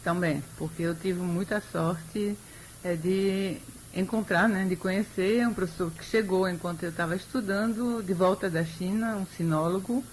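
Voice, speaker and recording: Older woman historian, unhurried and reflective with pauses, faint background noise. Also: on the low side, rough recording. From Europe. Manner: measured, thoughtful, with frequent hesitations. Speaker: historian, interview guest. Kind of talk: radio interview.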